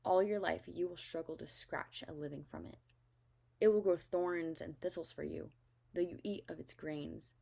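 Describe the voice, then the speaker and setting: A woman's voice: on the low side, steady tone, moderate pace, gravelly, dark tone, husky. A woman voicing a stern, commanding God in a Bible story, solemn and emphatic. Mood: solemn judgment.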